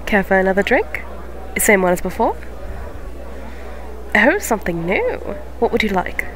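A woman playing a gentle, motherly young cat witch who keeps a tavern, speaking softly and formally in an ASMR fantasy roleplay. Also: mid-range voice, sing-song, slight background noise, rough recording. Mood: friendly, curious. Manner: soft-spoken, formal, unhurried phrasing. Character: gentle eighteen-year-old neko witch tavern keeper, motherly, polite.

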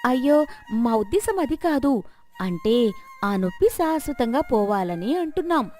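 A woman plays a greedy village wife in a storybook tale, high-voiced and animated. She plots and wheedles with exaggerated fake sweetness, over faint music. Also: measured pace. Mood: falsely innocent. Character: greedy, scheming, sugary-tongued middle-aged village wife.

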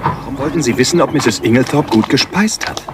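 An actor playing an earnest, polite gentleman, the eager and slightly baffled friend of a detective in a radio drama. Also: mid-range voice, a little fast, close to an everyday voice. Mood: puzzled, helpful. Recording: clear background noise and ambience.

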